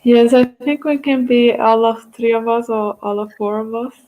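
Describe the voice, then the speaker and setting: A woman from Brazil, co-organizing an online event on a video call, cheerful and quick to laugh. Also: a little high, steady tone, phone-quality sound.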